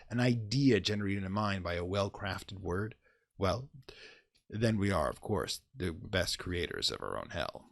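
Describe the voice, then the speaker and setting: Deep, gravelly, theatrical male horror host. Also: no room sound, studio quality. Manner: dramatic, deliberate, macabre flourish. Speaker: host and presenter of a horror radio theater series.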